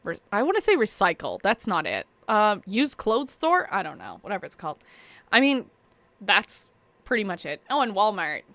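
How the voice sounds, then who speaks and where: A woman's voice: medium pitch, wildly swooping pitch, moderate pace, sharp tone, no echo. A young woman streamer, chatting casually and rambling, self-deprecating and laughing often. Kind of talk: casual chat while waiting on a stream.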